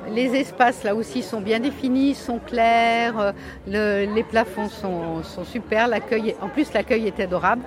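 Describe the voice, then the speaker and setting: A woman's voice: fairly high pitch, measured pace, a crowd in the background. A woman answering a street interview, speaking enthusiastically and with praise. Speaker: interviewed visitor. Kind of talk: street vox pop.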